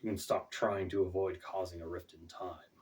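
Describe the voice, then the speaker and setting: A man voicing a solemn, commanding Death in a storybook, speaking with measured authority. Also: sits low, flat delivery, slight background noise, close to an everyday voice. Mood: stern, commanding. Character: solemn, commanding Death, robed male master of shadows. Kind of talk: storybook character voice. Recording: distant, off-mic home recording.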